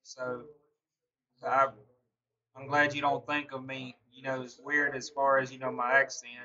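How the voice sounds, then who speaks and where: Southern man, live streamer, bright voice, laughs a lot between chat replies. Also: mid-range voice, level tone, a little slow, muffled recording. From Mississippi. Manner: laughs often, answers chat by name. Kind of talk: casual chat reading viewer comments.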